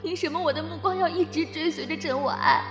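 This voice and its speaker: A woman's voice: very high-pitched, quick pace, faint music behind. A woman playing a jealous, possessive schoolgirl in an audio drama, with an emotional, dramatic delivery. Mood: angry, hurt. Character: jealous, possessive schoolgirl hurt by her closest friend. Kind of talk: Chinese audio drama acting.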